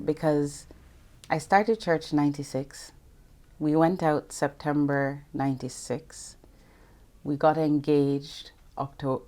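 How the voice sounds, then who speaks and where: Low-voiced middle-aged woman from Jamaica. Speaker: guest, banking executive. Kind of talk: interview conversation.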